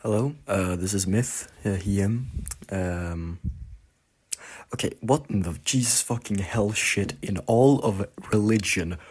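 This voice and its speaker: Husky, low-voiced man in a profane rant. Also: slow. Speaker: listener sending a voice message. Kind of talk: casual, profane voice message.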